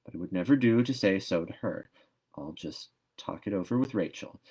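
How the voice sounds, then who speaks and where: A man's voice: deep voice, lively intonation, moderate pace, darkish tone, breathy edge, exaggerated voice, rough recording. A no-nonsense older woman from a storybook as a male reader plays her. She is shrewd and practical, talking in a curt, folksy way. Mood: pondering.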